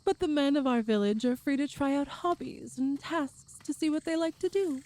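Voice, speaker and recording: Lady acting as a big strong amazon girl for asmr roleplay, sweet n doting, soft mellow voice, slow, super dry close sound. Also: sits high, dry recording, close to an everyday voice, poor audio. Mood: reassuring, warm. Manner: gentle, slightly formal, giggly phrasing. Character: tall strong Amazonian woman, cheerful, doting, eager. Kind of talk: ASMR roleplay audio drama.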